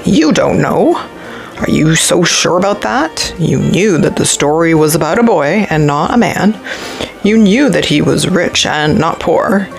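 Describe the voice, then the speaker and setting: A woman's voice: lowish voice, expressive, a little slow, creaky, soft background music, low-quality recording. A woman voicing a kindly, tired old man who muses and coaxes as he makes up stories in a children's storybook. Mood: coaxing. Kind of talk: children's storybook read-aloud.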